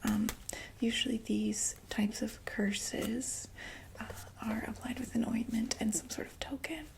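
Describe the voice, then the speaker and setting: A woman playing a reassuring female doctor for monsters in a whispered ASMR roleplay, explaining gently and hesitantly in a breathy voice. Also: a little high, steady tone, a little slow, poor audio. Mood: explaining calmly.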